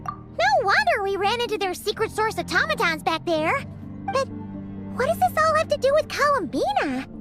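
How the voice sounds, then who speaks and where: A woman voicing a small, childlike, excitable floating companion in a video game, chatty, inquisitive and easily exasperated. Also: very high voice, moderate pace, loud music behind the voice. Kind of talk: animated video game dialogue.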